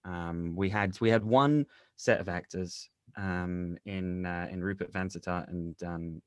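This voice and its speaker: A man explaining his work conversationally as an interviewed director, in a measured, halting way. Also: deep voice, fairly even intonation, clear, resonant tone, no echo, clean studio sound. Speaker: audio drama director being interviewed.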